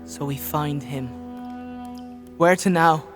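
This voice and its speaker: An actor playing a naive, caring young farm boy in a dark fantasy audio drama, informal and earnest in manner. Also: mid-range voice, slow pace, faint music behind, close to an everyday voice. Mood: subdued, sorry.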